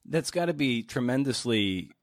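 A man's voice: medium pitch, animated, moderate pace, no echo, studio quality. A middle-aged man hosting a podcast in a conversational, informal way, with wry, playful asides. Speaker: podcast host. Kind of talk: conversational podcast monologue and interviewing.

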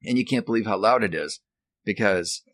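Low-pitched man, halting explainer. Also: a bit bright, no room sound. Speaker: guest, author and former radio technician. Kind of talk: casual explanatory chat.

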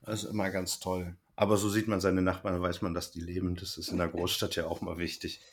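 A man with a slightly low-pitched voice hosts a podcast. He talks casually and conversationally, as in an informal chat with a co-host. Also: completely flat delivery, brisk.

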